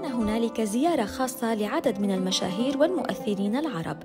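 A woman's voice: a little high, lively intonation, fast talker. A woman presenter with a Levantine Arabic accent, delivering scripted promotional narration. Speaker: presenter and interviewer. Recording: music behind the voice. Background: background music.

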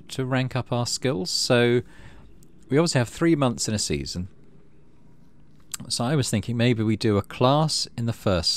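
A man narrating a game playthrough casually, reading text aloud and thinking out loud in an easygoing way. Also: medium pitch. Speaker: gaming video host.

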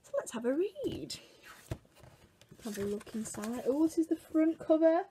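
Very animated British woman reading to children. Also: sits high, a little slow, a little hiss or hum. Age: 20s. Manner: expressive and exaggerated, addressing young children. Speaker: children's museum worker reading a story to children. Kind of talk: animated children's picture-book storytelling. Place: small room at home, indoors.

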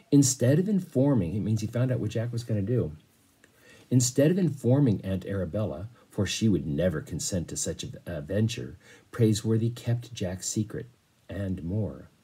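Animated, husky middle-aged male reader. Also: lowish voice, moderate pace, low-quality recording. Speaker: teacher reading to a class. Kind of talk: expressive read-aloud of a children's novel with explanations. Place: small bedroom, indoors.

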